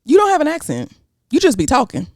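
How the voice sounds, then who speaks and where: A woman with a Southern US accent co-hosting a podcast, talking in an animated, slangy, cursing way. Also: high-pitched, fast, creaky, no room sound, clean studio sound. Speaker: podcast co-host. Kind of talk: casual chat.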